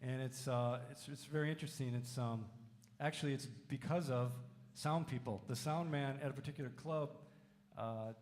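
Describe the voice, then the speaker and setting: A man's voice: medium pitch, fairly even intonation, moderate pace, darkish tone. A man from Wisconsin, a music teacher and drummer, talking casually with lots of fillers at a college Q&A. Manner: casual, many fillers, friendly. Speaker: music faculty, band drummer. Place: college music hall, reverberant. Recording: reverberant hall.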